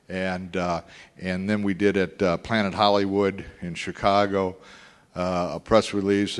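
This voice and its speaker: An older man recalling his business career in a halting, rambling way with many fillers. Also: on the low side, long reverb tail. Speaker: former industry executive. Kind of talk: oral history interview.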